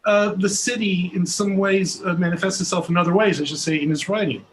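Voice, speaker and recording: A middle-aged Californian American man with a fairly high voice moderates a panel casually. He is on a video call, with some light noise in the sound. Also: steady tone, brisk. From Southern California, US. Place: home room, video call.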